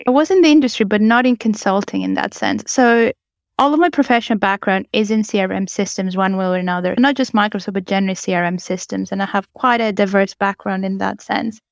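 Smooth-voiced Greek-Italian woman guest. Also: medium pitch, quick pace, no room sound, clean studio sound. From Greece and Italy. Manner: enthusiastic, animated, occasional laughs and restarts. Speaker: guest, change consultant. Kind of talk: podcast interview.

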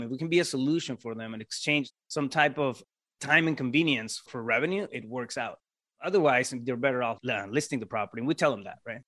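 A man's voice: medium pitch, a bit bright. Podcast guest, man with a Mexican Spanish accent, a native Spanish speaker; casual and explanatory, checks in with tag questions. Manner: explanatory, lays out steps, frequent tag questions. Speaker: podcast guest, real estate investor. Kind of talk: casual interview talk.

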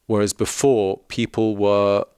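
A man's voice: fairly low pitch, little pitch movement, a little slow, a little mellow, clear, resonant tone, no echo, clean studio sound. A middle-aged man from of Lebanese background, talking earnestly as a spiritual mentor on a podcast, often restarting his sentences. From Lebanese, grew up in London. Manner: thoughtful, earnest, frequent restarts. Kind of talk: reflective podcast conversation.

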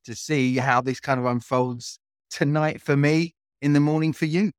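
A man's voice: on the high side, steady tone, moderate pace, smooth, no room sound, studio quality. A middle-aged man from London with a British accent, chatting as an interview guest and rambling through stories with many restarts. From London, England. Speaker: guest, coach. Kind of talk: casual chat. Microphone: video call.

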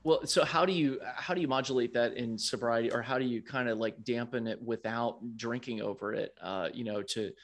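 A man hosting a podcast, loud and talkative, laughing often as he asks questions in a casual interview. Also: medium pitch, fairly quick, hardly any pauses. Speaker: podcast host.

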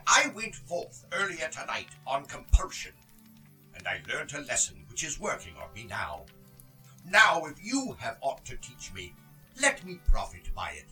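A man's voice: mid-range voice, huge pitch swings, a little slow, pushed and bright, soft background music, full character voice, poor audio. A man playing a frightened old miser humbled by spirits, in formal, pleading theatrical radio acting. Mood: humbled, timid.

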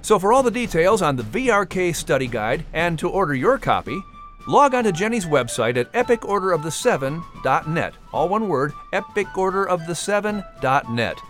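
A man's voice: fairly high pitch, sing-song, normal speed, fairly bright tone, soft background music. A man who narrates audiobooks, doing scripted announcing as a podcast host.

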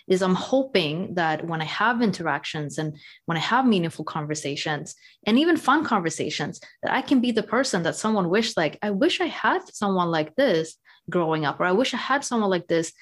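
A woman from Sweden speaks as an interview guest in a lowish voice. She tells her story thoughtfully and evenly, taking her time over each point. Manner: reflective, measured storytelling. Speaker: podcast guest. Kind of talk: conversational interview.

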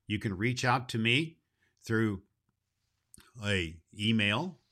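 Male performer as grandfatherly Christmas gift-bringer, jolly and hearty; forceful bright voice, swooping intonation, deliberate storyteller phrasing for kids. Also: on the low side, expressive, heavily acted. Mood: cheerful, explaining. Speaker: host of a children's Christmas story show. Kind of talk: hearty in-character greeting and storytelling for children.

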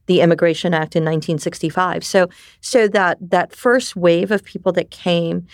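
A middle-aged woman from New York, whose first language was Japanese, telling her life story in a candid, animated way and often tagging on "right?". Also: on the low side, normal speed, no room sound, studio quality. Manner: animated, frequent restarts and "right?" tags. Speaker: podcast guest.

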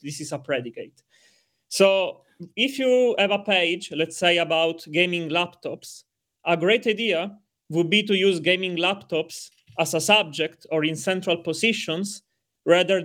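A man from southern Italy speaks English with an Italian accent. His voice sits a little high for a man. He explains things conversationally, as a guest on a panel. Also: bright, forceful tone. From Sicily, southern Italy. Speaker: podcast panel guest, content specialist.

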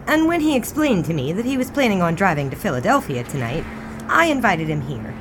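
A teasing bossy 1920s lady editor in a radio drama, very lively pitch, car noise around. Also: brisk. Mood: brisk, commanding. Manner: arch, playfully commanding. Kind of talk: period audio drama dialogue.

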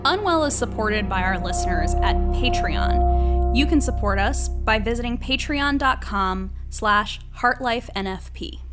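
A woman's voice: a little high, animated, a little slow. A woman reading scripted credits and acknowledgments. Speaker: credits announcer. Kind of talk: scripted narration. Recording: music behind.

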